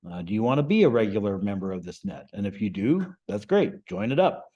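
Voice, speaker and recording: A man giving an informal, explanatory lesson to a group as the instructor, often checking in with a quick "right?". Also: fairly low pitch, sing-song, mellow, lo-fi sound. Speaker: amateur radio class instructor.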